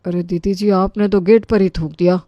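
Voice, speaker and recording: A woman's voice: medium pitch, fairly even intonation, moderate pace, warm tone, no echo, highs cut off, natural voice. A woman voicing an anxious, deferential relative in a Hindi story, with a polite, pleading manner. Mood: polite, wary. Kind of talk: Hindi storybook character acting.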